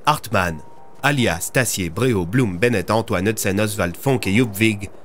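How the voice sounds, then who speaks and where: A man's voice: lowish voice, measured pace, a little hiss or hum. A man narrating a scripted audio drama as a storyteller.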